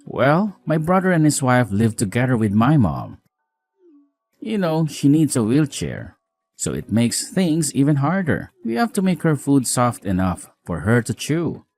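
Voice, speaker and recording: A man playing a weary, apologetic newlywed husband in a scripted audio drama, earnest, expressive, caring and frank. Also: medium pitch, a little slow, faint music behind. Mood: concerned.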